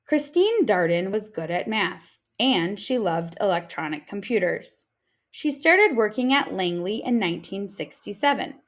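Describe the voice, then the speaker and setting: A woman reading an informational picture book aloud like a teacher, with deliberate, expressive phrasing for children. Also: medium pitch.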